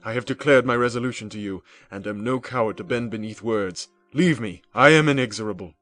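A man reading the lines of a defiant young scientist, forceful and raised in declamation. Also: lowish voice, brisk, close to an everyday voice. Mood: defiant fury. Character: defiant young male scientist, furious and resolute. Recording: music bed underneath.

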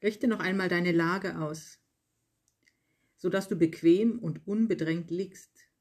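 A yoga nidra guide reading a scripted relaxation in calm, measured short phrases with pauses. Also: mid-range voice. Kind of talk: slow guided relaxation meditation, read from a script.

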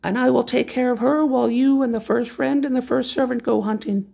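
A man's voice: very high-pitched, steady tone, fairly quick, creaky, darkish tone, pitched above the performer's own voice, full character voice. A man putting on a proud, lofty cave woman. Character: wise proud cave woman, high and lilting.

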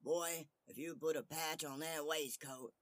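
Man acting a loud, cocky little tailor. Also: a little high, unhurried, dry recording, voice pushed up. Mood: loud, threatening.

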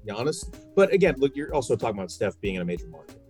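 A man's voice: mid-range voice, very animated, very fast, a little mellow, faint music behind. A man from New York in a casual, opinionated sports conversation, talking emphatically and posing rhetorical questions. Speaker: sports podcast guest and radio play-by-play broadcaster.

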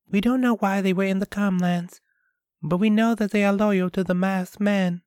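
Timid young girl voiced by a man, raised pitch, formal, quavering. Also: steady tone, moderate pace, a little mellow, smooth, dry recording, clean studio sound, close to an everyday voice. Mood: anxious. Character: frightened young village girl, earnest.

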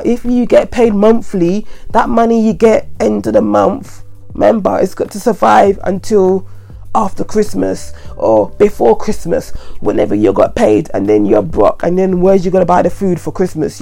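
A woman, croaky from a head cold, giving chatty, repetitive money advice straight to her viewers. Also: mid-range voice, expressive, moderate pace, dark tone, faint music behind. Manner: chatty, repetitive, earnest warnings. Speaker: vlogger. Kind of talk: casual advice monologue to camera.

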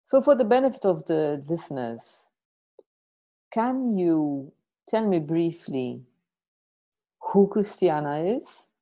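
A woman hosting a podcast, introducing and questioning in a conversational, reflective way with deliberate pauses. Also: on the low side, animated, dark tone. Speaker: podcast host.

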